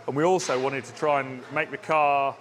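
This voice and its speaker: A man's voice: medium pitch, fairly even intonation, music in the background. A man giving a casual walkaround update on a car build in a workshop, talking technically and restarting his sentences often. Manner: halting, restarts often, plain technical talk.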